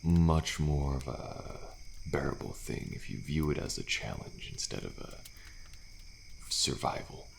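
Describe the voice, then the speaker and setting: A man playing a weary, friendly male survivor in an ASMR audio roleplay, speaking in a soft, hushed, reassuring way with a deep, gravelly voice. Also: expressive, unhurried, a little hiss or hum, full character voice. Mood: calm, resigned. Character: weary, friendly male survivor of deadly trials, resigned yet hopeful. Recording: faint night insect ambience behind.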